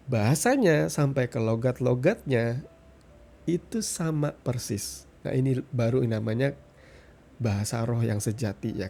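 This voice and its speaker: A man giving an informal sermon as a devotional podcast host, explaining conversationally with frequent check-in tags and pauses for emphasis. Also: medium pitch, vocal fry, dark tone. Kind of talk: informal sermon, Bible reflection.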